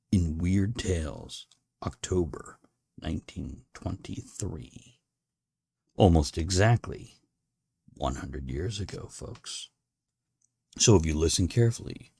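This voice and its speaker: Male narrator for a horror fiction podcast: very slow, deliberate delivery, gravelly edge, dramatic pauses. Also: low voice, dark tone, no room sound. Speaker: podcast host and audiobook reader. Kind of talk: dramatic reading of a classic horror story.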